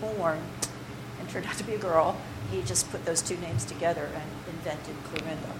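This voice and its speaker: Chatty, rambling woman workshop leader with a lowish voice, caught on a noisy recording. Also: normal speed. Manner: chatty, digressive, with many fillers and restarts. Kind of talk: informal workshop talk to a group.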